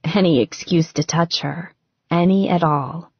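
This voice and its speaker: A woman's voice: fairly low pitch, measured pace, husky, no echo, lo-fi sound. A woman narrating romance fiction as a scripted audiobook.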